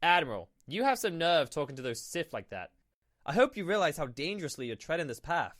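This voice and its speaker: A man's voice: on the high side, big pitch swings, fairly bright tone. A man acting as a cautious, deferential military officer in a sci-fi roleplay, speaking formally. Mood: cautious, dutiful. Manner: formal, measured advice-giving.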